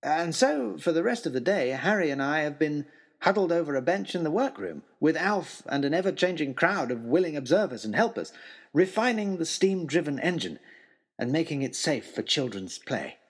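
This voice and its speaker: Guy reading an old timey adventure story, theatrical, highish husky voice, lots of dramatic pauses. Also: lively intonation. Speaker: narrator of a serial audio story. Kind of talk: scripted first-person adventure narration, in old-fashioned letter form.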